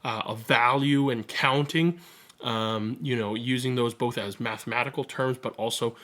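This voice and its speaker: A host reading a picture book aloud with animated narration and chatting casually about it. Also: medium pitch, normal speed.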